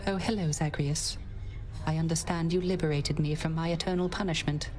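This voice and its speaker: A male actor plays a mournful court musician with a high voice for a man. The manner is formal and courteous, in video game dialogue with music behind. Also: steady tone, fairly quick, a little mellow, close to an everyday voice.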